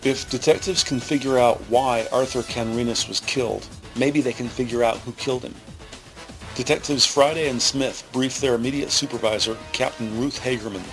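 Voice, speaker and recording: A man as a factual crime drama narrator, reading scripted scene descriptions in a measured way. His mid-pitched voice rides over prominent music. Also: steady tone, lightly acted, rough recording.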